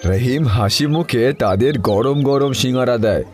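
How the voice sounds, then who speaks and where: A man's voice: mid-range voice, a little slow, a little mellow, soft background music. A man narrating a scripted cartoon story for viewers.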